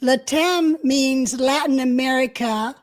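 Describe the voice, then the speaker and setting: A woman's voice: sits high, slow pace. A woman co-hosting an interview channel, chatty and adding friendly explanations. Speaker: podcast co-host. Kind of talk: casual interview.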